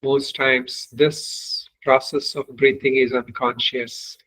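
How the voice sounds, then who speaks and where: Slow, even-toned man guiding meditation. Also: medium pitch, poor audio. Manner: measured, unhurried instruction with long pauses. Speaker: meditation teacher. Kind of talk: guided meditation.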